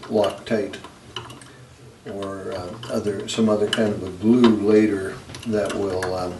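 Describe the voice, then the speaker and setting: A man narrating a hands-on repair tutorial in a halting, unscripted way, as if working at a bench indoors. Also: on the low side, level tone. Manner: hesitant, unscripted, halting delivery. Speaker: electronics repair video host. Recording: roomy, distant mic, noisy background.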